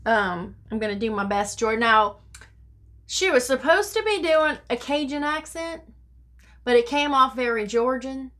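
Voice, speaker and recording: A woman telling stories to children in an expressive, theatrical, deliberate way. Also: high voice. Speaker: storyteller reading to children. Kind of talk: expressive storybook reading in rhyme. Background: music.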